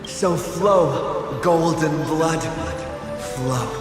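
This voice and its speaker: A man's voice: fairly high pitch, drawn-out words. An actor playing a solemn heroic young man in a video game, speaking to mortals in a grandiose, declamatory way. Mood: solemn, grand. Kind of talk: dramatic game voice acting. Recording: cavernous reverb with loud music behind.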